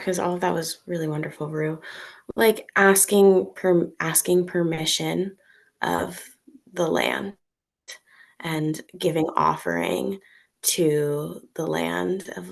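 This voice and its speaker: A woman speaking as a panelist in a slow, halting, thoughtful way. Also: lowish voice, gravelly. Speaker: panelist, wilderness guide. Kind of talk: panel discussion.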